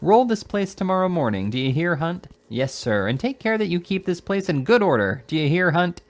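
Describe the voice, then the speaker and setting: A man voicing a fierce, pompous little captain who barks orders in a high-pitched bluster. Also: very animated, brisk.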